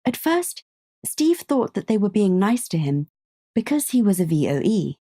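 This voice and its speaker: A woman narrating a scripted story in a measured, understated way, close on the microphone. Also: medium pitch, sing-song, warm tone, no echo.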